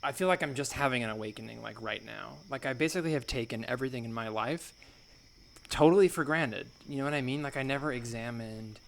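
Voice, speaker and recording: A man improvising a naive, falsely modest amateur thinker in a comedy podcast, musing in a halting, hedging way. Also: mid-range voice, creaky, natural voice. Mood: dazed, awakening.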